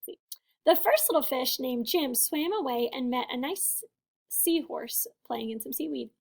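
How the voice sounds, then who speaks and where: A woman teacher doing an expressive storybook read-aloud for first graders, chatting to them and asking questions. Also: high voice, a little slow, creaky. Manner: expressive, addressing young children with questions. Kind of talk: animated children's read-aloud.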